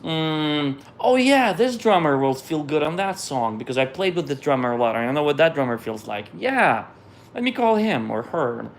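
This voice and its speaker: A middle-aged man from Israel, whose first language is Russian, casually reads out viewer questions and answers them in a relaxed, conversational way, often trailing off and restarting. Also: a little high, moderate pace, slight background noise. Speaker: musician hosting a viewer Q&A.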